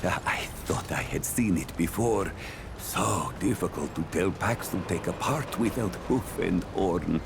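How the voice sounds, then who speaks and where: An actor playing a courteous, befuddled horned and hoofed male creature in a video game, speaking formally and haltingly. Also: low-pitched. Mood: polite, puzzled. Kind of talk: video game character dialogue. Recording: clear background noise.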